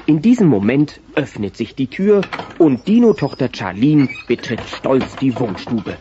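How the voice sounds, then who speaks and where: A man's voice: mid-range voice, sing-song, a little slow, warm tone, a little hiss or hum. A man narrating a children's audio drama in scripted storytelling style. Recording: dull cassette transfer.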